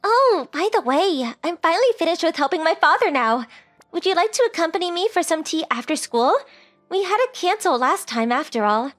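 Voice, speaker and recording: A woman's voice: extremely high pitch, very animated, brisk, a bit bright, soft background music, heavily acted. A woman voicing a sweet, polite rich teenage girl who is coy and forward, in a romantic comedy comic dub. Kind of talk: anime-style comic dub dialogue.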